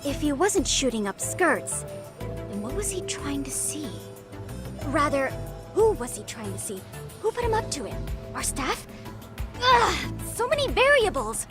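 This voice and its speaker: An eager teenage mystery fanatic, speaks in grand, wordy declarations for a video game. Her voice is high and swings animatedly in pitch. Music plays clearly behind her. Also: big pitch swings, measured pace. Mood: puzzled, intrigued. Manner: pompous, wordy, dramatic. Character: eager, theatrical teenage girl, mystery-obsessed club president. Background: background music.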